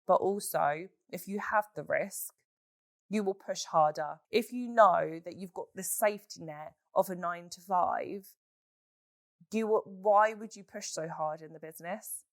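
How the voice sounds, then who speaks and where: Hoarse female podcast host, frank and animated, low-ish voice, dry room. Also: unhurried, no echo. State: hoarse from a chest infection. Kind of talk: solo podcast Q&A, casual chat.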